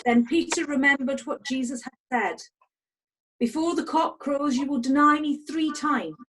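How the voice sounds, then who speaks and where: A woman's voice: high voice, normal speed, fairly bright tone, slight background noise, poor audio. A woman reading scripted scripture narration. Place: online video meeting from home.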